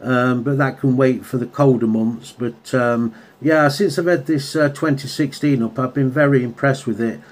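A man's voice: mid-range voice, normal speed, hardly any pauses. Chatty man on the radio. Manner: chatty, uses radio jargon. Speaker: radio hobbyist and video maker. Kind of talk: casual CB radio contact chat. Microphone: handheld radio microphone.

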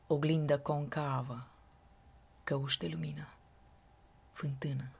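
A woman with a breathy, husky voice giving an expressive recitation of poetry. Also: deep voice. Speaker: poetry reader.

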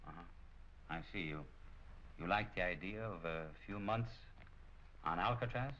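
A middle-aged actor playing a smooth-talking, cynical crime boss in a 1940s film, with a sardonic, casual manner. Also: male voice, low voice, moderate pace, sharp tone. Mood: cool, sardonic. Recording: muffled, noisy 1940 film soundtrack.

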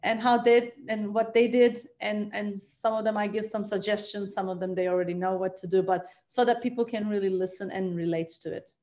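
A woman's voice: mid-range voice, steady tone, brisk, few pauses, darkish tone. A woman in her forties from Turkey, a native Turkish speaker with a Turkish accent, talking conversationally as an interview guest on a video call from home. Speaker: guest, trauma psychologist. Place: bright living room at home.

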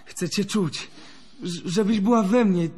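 Naive young musician, very high male voice, halting, slight stammer, earnest, devoted, radio drama. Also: darkish tone, breathy edge. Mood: tender, earnest.

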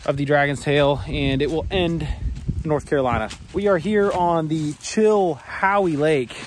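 A man's voice: a little high, a little slow. A young man talking casually and upbeat as he vlogs a road trip from his van and the outdoors, filmed on a phone held at arm's length. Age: young adult. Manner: laid-back, upbeat, says "freaking sick" a lot. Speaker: vlogger. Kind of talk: casual travel vlog. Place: in a van and outdoors on mountain roads. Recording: outdoor noise on a phone mic.

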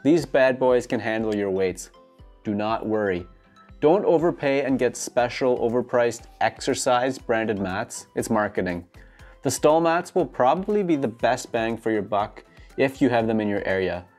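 A man's voice: medium pitch, expressive, moderate pace, darkish tone. A man in his thirties giving casual instructions to camera, with jokey, tongue-in-cheek asides. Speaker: host of a home gym DIY channel. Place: garage home gym, indoors. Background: music.

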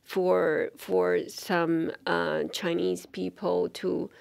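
Gravelly-voiced woman. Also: fairly low pitch, flat delivery, very slow. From Taiwan. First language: Taiwanese. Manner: thoughtful, many fillers and restarts. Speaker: university dean, guest. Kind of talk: interview conversation. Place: small bright indoor studio with sofa. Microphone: studio mic on stand.

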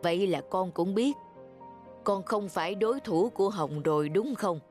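A woman playing a calm, firm middle-aged father and school principal in a cartoon, measured and admonishing. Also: medium pitch, lightly acted. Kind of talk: animated cartoon voice acting. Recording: clear music behind the voice.

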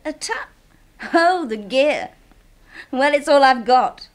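A high-voiced, cheeky young English typist. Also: female voice, animated, slow pace. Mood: breezy. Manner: casual, flippant. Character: cheeky, breezy, carefree young office typist. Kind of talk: 1970s radio drama acting.

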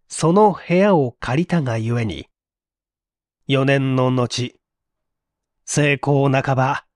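A man reading a literary mystery story aloud in an expressive, theatrical storytelling manner. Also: fairly high pitch, no echo, studio quality. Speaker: reader of audio stories.

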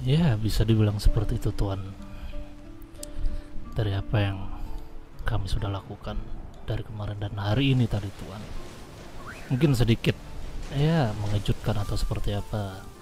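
A man with a fairly low voice speaks in character for a roleplay game. He is formal and deferential, choosing his words with some hesitation. Music plays clearly behind him. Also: moderate pace, long pauses. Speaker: roleplay gamer playing a gang leader.

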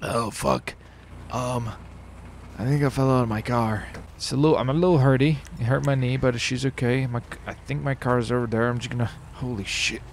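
A man with a creaky, gravelly voice chats casually through a video game roleplay. He swears and exclaims freely, over faint noise of car engines. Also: medium pitch, fairly quick. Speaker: gaming streamer.

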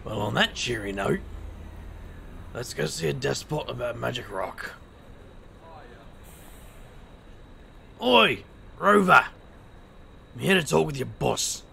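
A man playing a wry, reckless street magician who narrates his own tale in an audio drama, in a gravelly voice. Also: medium pitch, sing-song, unhurried, pauses often, pushed and bright, slight background noise, full character voice. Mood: wry, bold. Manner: wry, sardonic. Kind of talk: first-person audio drama narration and dialogue.

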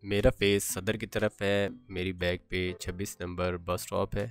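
A man's voice: deep voice, level tone, normal speed, over music. A man with a Pakistani accent presenting a construction site update in a factual, matter-of-fact way. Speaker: video presenter.

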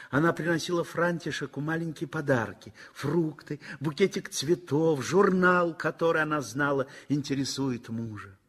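Husky male radio-play narrator, lively delivery. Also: fairly high pitch. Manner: measured, expressive storytelling. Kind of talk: scripted literary narration, 1960s radio theatre.

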